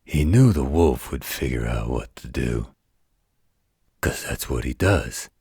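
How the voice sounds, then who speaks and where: Gruff male werewolf guardian acted by a man, extremely low and raspy, tender folksy bedtime storyteller for an intimate audio roleplay. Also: lively intonation, no echo, studio quality, heavily acted. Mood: folksy storytelling. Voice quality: very deep, gravelly, husky. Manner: folksy, unhurried, gently wry.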